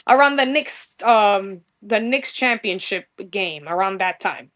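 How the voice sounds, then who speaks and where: A woman's voice: medium pitch, lively intonation, measured pace, fairly bright tone. A young woman from New York with a New York accent, gushing in a casual podcast interview and mixing in street slang. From New York, USA. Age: young adult. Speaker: guest musician.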